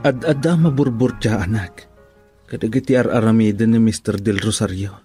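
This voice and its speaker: A man's voice: lowish voice, moderate pace, dark tone, faint music behind, natural voice. An actor playing a humble, hesitant farmer and father in an Ilocano radio drama. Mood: thoughtful.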